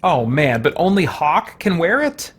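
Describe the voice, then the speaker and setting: Male game streamer, casual and joking, rambling delivery, highly animated pitch. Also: measured pace, husky. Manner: joking, rambling, muttering to himself. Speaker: livestreaming game player, host. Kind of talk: casual gameplay commentary with put-on in-character quips.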